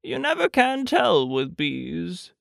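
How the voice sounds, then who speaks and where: A narrator voicing a slow, earnest, anxious male toy bear who muses hesitantly in a children's storybook. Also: mid-range voice, wildly swooping pitch, gravelly, a bit bright, no room sound, clean studio sound, voice pushed up, exaggerated voice. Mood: pondering, earnest. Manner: hesitant, deliberate, drawn-out musing.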